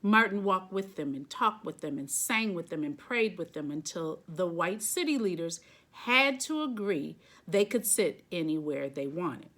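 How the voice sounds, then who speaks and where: A woman reading a children's picture book aloud, phrasing deliberately and stressing key words. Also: fairly low pitch, lively intonation, fairly bright tone.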